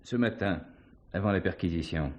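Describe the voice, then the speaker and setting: A man playing a shrewd, assured police commissioner in a theatrical mystery, measured and ironically courteous. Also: deep voice, darkish tone, dull audio, lightly acted. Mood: assured, unmasking. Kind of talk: French stage mystery acting.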